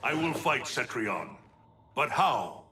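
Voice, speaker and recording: Solemn slow-spoken thunder god, echoing. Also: male voice, medium pitch, big pitch swings, background noise. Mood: solemn, troubled. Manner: solemn, formal. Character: grave thunder god, solemn protector of the realms. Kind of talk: video game cutscene acting. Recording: echoey, distant, under music.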